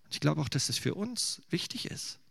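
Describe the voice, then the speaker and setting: A man's voice: a little high, sing-song, normal speed, gravelly, a bit bright, breathy edge, no room sound. A man from eastern Germany preaching in a conversational, musing way, with casual asides. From Thuringia, eastern Germany. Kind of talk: sermon.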